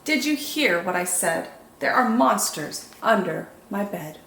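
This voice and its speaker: A woman reading picture books aloud as a children's storytime host, expressive and deliberate, with drawn-out dramatic emphasis. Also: mid-range voice, creaky. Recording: echoey room, faint background noise.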